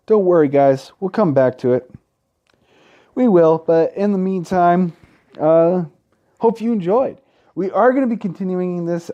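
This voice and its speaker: A young man hosting a casual Let's Play, talking in a halting way and stumbling over his words. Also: medium pitch, dark tone, highs cut off. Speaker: gaming video host.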